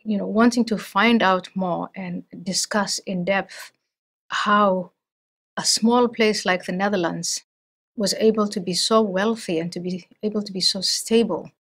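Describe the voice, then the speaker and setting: A middle-aged woman from Somalia, speaking as an interview guest in a thoughtful, measured way with frequent restarts. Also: medium pitch, fairly even intonation, fairly bright tone, breathy edge. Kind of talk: interview conversation.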